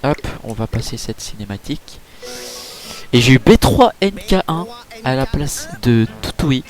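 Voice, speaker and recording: A man giving casual, chatty let's-play commentary over a game, full of fillers and quick reactions. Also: medium pitch, sing-song, darkish tone. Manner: chatty, filler-heavy, easily impressed. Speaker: gaming YouTuber. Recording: game music playing behind.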